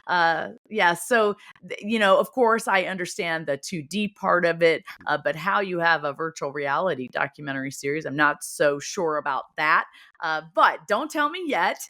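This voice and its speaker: A man's voice: a little high, animated, normal speed, sharp tone. A man hosting a podcast interview in a chatty, rambling way, full of fillers. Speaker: podcast host. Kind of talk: casual interview chat. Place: Chicago.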